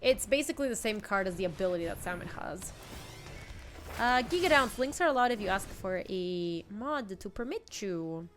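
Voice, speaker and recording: A woman's voice: medium pitch, lively intonation, normal speed, soft background music. A young woman with a stuffy cold, chatting casually as she plays a card game on stream and answers her chat. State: congested with a cold. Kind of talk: casual live-stream commentary. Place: home streaming room, indoors.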